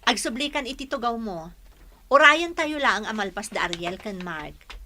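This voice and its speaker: A woman's voice: on the high side, lively intonation, moderate pace, pushed and bright, slight background noise. A woman with a Filipino accent acting an eager, respectful young student teacher, polite and earnest, in a radio drama. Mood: instructive.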